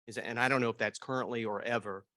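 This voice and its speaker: Man, audience questioner, mid pitch, dry sound, grateful and rambling. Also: fairly even intonation, fast talker, bright, forceful tone, dry recording. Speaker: audience member asking a question. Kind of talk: question from the floor.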